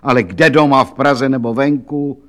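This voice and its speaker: A man voicing a stern, impatient police official who talks loudly and officiously. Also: mid-range voice, fairly even intonation, close to an everyday voice. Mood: barking. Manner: loud, officious.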